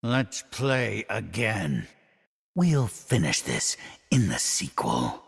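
Gravelly masked slasher killer. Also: male voice, on the low side, sing-song, long reverb tail, full character voice. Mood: taunting menace. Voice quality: gravelly, husky. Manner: menacing, drawn-out taunting. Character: sinister masked slasher killer, male, taunting and playful. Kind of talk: video game villain voice lines.

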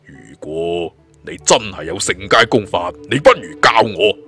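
A man voicing an eager, greedy bandit underling in an audiobook, excited, boastful and fawning. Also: deep voice, measured pace, creaky, slightly rough, faint music behind, voice pushed down, heavily acted. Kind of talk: audiobook character voice.

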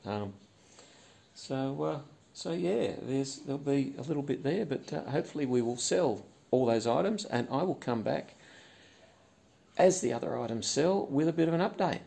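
A man, talking casually and haltingly in a garage as host of his own channel. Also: mid-range voice, animated, vocal fry, dark tone. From Australia. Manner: rambling, hesitant with many fillers. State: hoarse after a night out. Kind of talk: casual vlog. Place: cluttered garage workshop.

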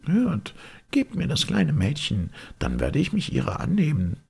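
Man putting on a husky, high-lifted old lady. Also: huge pitch swings, a little slow, darkish tone, heavily acted. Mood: compassionate, requesting. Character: kindly old lady of means, weak-sighted.

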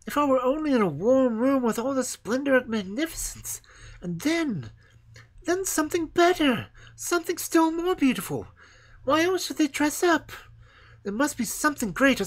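A woman's voice: on the high side, voice pushed up. A woman voicing an eager young fir tree. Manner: yearning, breathless questioning.

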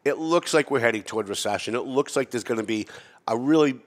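A man in his mid-fifties hosts a local podcast from a studio set, talking at a headset mic in a casual, rambling way. His voice sits at an ordinary middle pitch, and he pokes fun at himself as he goes. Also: fast. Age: 50s. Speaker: podcast host. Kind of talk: casual interview chat.

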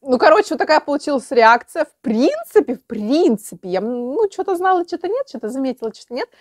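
A woman's voice: high voice, sing-song, a little fast, a little mellow, no room sound. Young woman, dry close sound, casual reactions. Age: young adult. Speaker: reaction video host. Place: small room at home with bookshelves.

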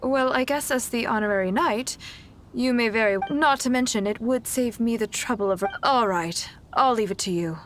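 A woman's voice: on the high side, moderate pace, a little hiss or hum, close to an everyday voice. A woman voicing a composed, polite church nun in a video game. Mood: calm, businesslike. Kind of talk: video game character acting.

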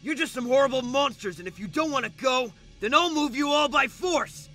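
Casting: man as brash human boy in cartoon dub, very high, bright voice, excitable, music underneath. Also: moderate pace, full character voice. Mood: hostile. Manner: exclamatory, brash. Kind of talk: comic dub voice acting.

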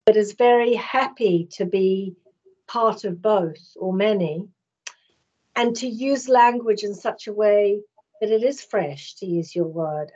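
A woman's voice: medium pitch, a little mellow. A middle-aged woman hosting an interview over a video call from home, speaking in long, flowing, carefully phrased sentences. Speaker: host. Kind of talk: interview host questions. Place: home room. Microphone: video call, laptop mic. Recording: slightly dull video call audio.

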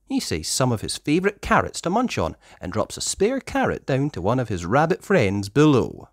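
A person reading a children's story aloud in expressive narration. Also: medium pitch, normal speed, no echo, studio quality. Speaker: storybook reader.

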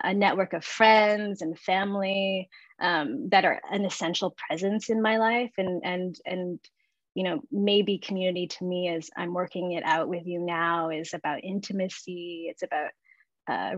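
A woman in her thirties speaking in a measured, reflective way on a video call from her living room, thinking aloud as she talks. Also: mid-range voice, no echo. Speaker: artist and guest speaker. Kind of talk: reflective conversation. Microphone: video call with earbuds. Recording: dull dry video call audio.

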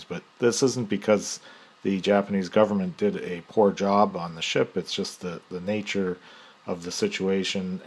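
A man from the United States talks through the explanation in an unhurried, hesitant way, stopping often to search for words. His voice sits very low. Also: slightly rough. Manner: relaxed, hesitant, full of fillers. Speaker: podcast host and commentator. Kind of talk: explanatory commentary.

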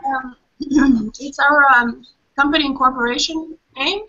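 A woman's voice: sits high, fairly even intonation, unhurried, sharp tone. A woman architect being interviewed over a video call, explaining thoughtfully with fillers. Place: open-plan office. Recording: muffled video-call audio.

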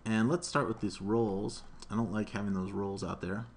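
A man's voice: on the low side, steady tone, brisk. A man in his thirties giving an informal programming tutorial, narrating each step aloud as he works. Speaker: programming instructor. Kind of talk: live coding tutorial, thinking aloud. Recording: noisy room mic with keyboard typing. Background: keyboard typing, room noise.

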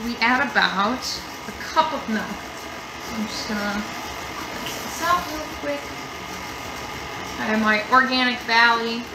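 A young woman hosting a casual live cooking demo from a home kitchen, chatty and rambling with lots of fillers. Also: on the high side. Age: young adult. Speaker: museum cooking show host. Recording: echoey kitchen with background noise.

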